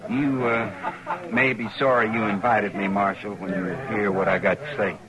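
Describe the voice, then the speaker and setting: An actor playing a loyal, stubborn cattle drive boss in a radio western, earnest and folksy with a western American accent. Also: male voice, on the low side, little pitch movement, moderate pace, natural voice, low-quality recording. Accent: American West. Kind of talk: old-time radio western acting. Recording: old radio recording, faint crowd murmur.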